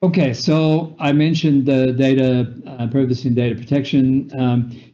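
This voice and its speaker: An older man with a North American accent gives an explanatory webinar talk, often pausing on fillers and correcting himself. His voice is mellow and relaxed. The audio has the slightly muffled, lo-fi sound of a video call. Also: medium pitch. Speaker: webinar presenter. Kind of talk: lecture with slides.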